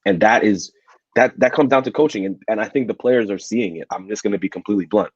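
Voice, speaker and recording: Man, low husky voice, blunt emphatic football pundit, dull dry recording. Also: fast, no room sound. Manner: emphatic and blunt, repeats words as he builds a point. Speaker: podcast co-host. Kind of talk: casual football debate. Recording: dull, muffled audio.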